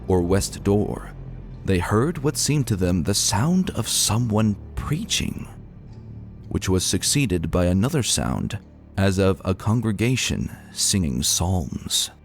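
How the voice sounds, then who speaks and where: A man reading a scripted old ghost account as narrator, in a measured, formal storytelling manner. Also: low-pitched, very animated, creaky, soft background music.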